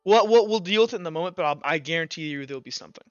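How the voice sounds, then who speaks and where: A man with a fairly high, smooth voice runs a tabletop game, rambling through rules and scene descriptions and often correcting himself. The audio is dry and a bit dull, with music underneath. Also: expressive, racing, no room sound. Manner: rambling, self-correcting, explanatory. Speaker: game master. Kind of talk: improvised narration of a tabletop roleplaying game.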